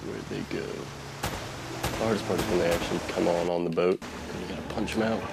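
A man's voice: sits low, steady tone, a little fast, very noisy. A man giving casual, self-deprecating commentary as a let's play host, over game audio in a home recording. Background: game music and sounds.

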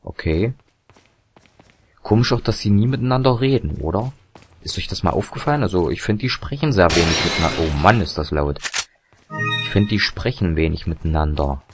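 A young man commentating a video game, chatty and rambling with fillers and self-corrections, his voice a little hoarse and tired. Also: sits low, a little hiss or hum. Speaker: let's play commentator. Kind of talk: casual gameplay commentary.

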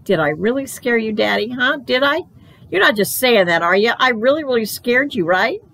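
A woman voicing an excitable young girl who tells her children's story in a childlike, gushing way. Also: fairly high pitch, normal speed, vocal fry, voice pushed up, heavily acted. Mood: excited, gleeful. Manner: childlike, breathless, gushing phrasing. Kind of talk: first-person children's storybook narration.

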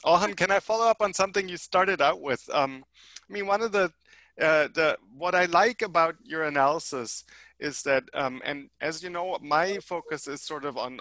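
A middle-aged man moderates a video panel through a headset mic, chatty and upbeat. His voice sits a bit high and comes across bright and forward. The sound is close and dry. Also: no room sound. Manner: chatty, upbeat, asks follow-up questions. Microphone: headset mic on video call.

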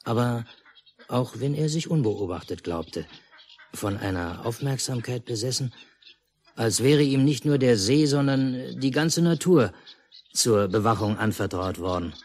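An actor playing a calm storyteller in a 1950s radio play, narrating a lakeside village tale in measured, literary phrases. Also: male voice, medium pitch, close to an everyday voice. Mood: measured storytelling. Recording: noisy 1950s mono radio recording.

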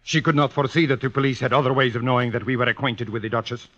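A man's voice: medium pitch, fairly even intonation, very fast, fairly bright tone, natural voice. An actor playing an older fencing maestro, fatherly and insinuating, with formal phrasing in a 1950s radio drama. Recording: dull vintage 1950s radio recording.

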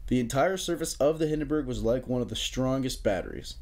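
A man's voice: medium pitch, brisk, a little mellow. A young American man narrating an explainer in a casual, joking way, with informal wry asides. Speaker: narrator of an amateur history explainer video.

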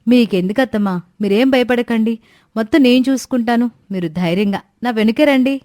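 A woman's voice: fairly high pitch, a little fast, a little mellow, no room sound, studio quality, natural voice. A woman voicing an eager, naive young daughter-in-law in a cartoon moral story, confident and respectful as she explains. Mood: reassuring. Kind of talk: animated Telugu moral story dialogue.

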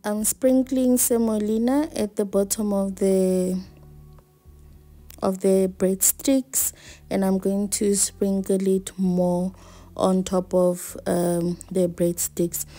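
A woman talks through her baking in a home kitchen, picked up by a camera resting on the counter. She speaks slowly and chattily, thinking aloud. Her voice is soft, mellow and dark. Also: mid-range voice, steady tone, faint music behind. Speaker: home cook vlogger. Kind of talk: casual cooking narration.

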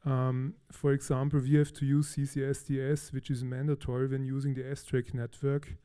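Austrian-born man, mellow conference presenter, relaxed with fillers. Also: medium pitch, moderate pace, smooth. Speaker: conference speaker. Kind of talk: technical conference talk. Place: large conference hall.

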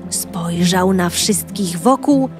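A woman's voice: on the low side, takes it slowly, music in the background. A Polish-accented woman telling a children's audio story in the first person as a cheerful young girl.

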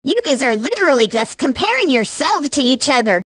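Woman voicing sassy cartoon hedgehog girl, smug, high-pitched, dry close sound. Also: very animated, a little fast, slightly rough, no room sound, heavily acted. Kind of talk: cartoon character dialogue.

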